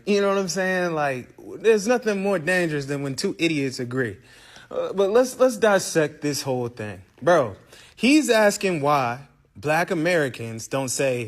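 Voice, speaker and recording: A man with a husky, fairly high voice delivers blunt reaction commentary. His pitch swings widely as he talks. He keeps the tone casual and direct. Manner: blunt, calls listeners "bro" and "dog".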